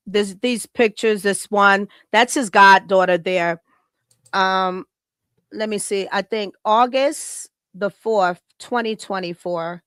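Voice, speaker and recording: Smooth-voiced woman podcast host. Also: mid-range voice, fairly bright tone, dry recording. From Chicago, United States. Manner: heartfelt, reads a written tribute aloud, laughs often. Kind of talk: casual live podcast talk.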